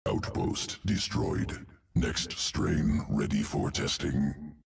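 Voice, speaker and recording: A man's voice: a bass voice, animated, gravelly, distant mic, slight background noise, heavily acted. A man playing a cold, clinical alien insectoid geneticist in a video game, speaking in a guttural growl and clipped, telegraphic phrases. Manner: clipped telegraphic speech without articles, deliberate. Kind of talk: video game character acting.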